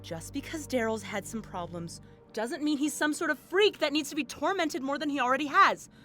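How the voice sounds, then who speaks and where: An actress playing an indignant, wounded police investigator in an audio drama, confrontational, profane and emotional. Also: female voice, high voice, expressive, brisk, bright, forceful tone, soft background music. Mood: angry, confronting.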